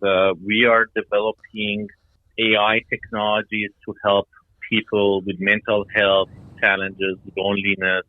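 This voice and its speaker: Phone-like low-voiced middle-aged man. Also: dry recording. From Iran and Denmark. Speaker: guest, startup founder. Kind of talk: explanatory interview answers. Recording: tinny phone-like line.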